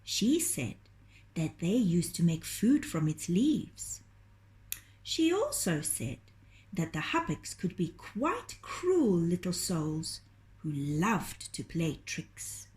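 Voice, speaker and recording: A woman reading a children's story aloud in a gentle, deliberate, expressive storytelling manner. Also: fairly low pitch. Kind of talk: children's storytelling, scripted narration.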